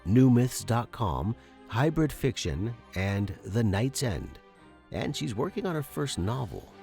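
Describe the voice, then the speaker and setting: A man's voice: fairly low pitch, a little mellow. A man hosting a podcast, giving an informal, enthusiastic introduction. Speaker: podcast host.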